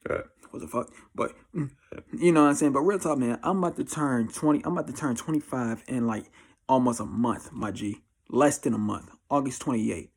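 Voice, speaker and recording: A man's voice: mid-range voice, darkish tone, husky. A man in his mid twenties with an American accent, giving a casual, slangy monologue in a blunt, emphatic way, often repeating phrases. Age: twenty-four. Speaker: vlogger giving life advice. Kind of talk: casual confessional monologue to camera.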